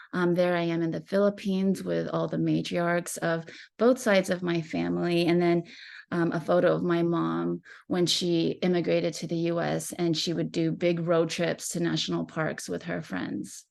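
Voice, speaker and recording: A woman from West Texas with an American accent, giving an informal slide talk as an artist in a reflective, halting manner. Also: fairly low pitch, gravelly, a little mellow. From West Texas, United States. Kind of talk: informal slide presentation about own life and art.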